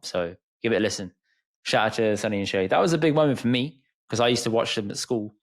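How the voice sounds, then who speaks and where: A man hosting a casual podcast, relaxed and quick to laugh. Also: fairly low pitch. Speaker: podcast host.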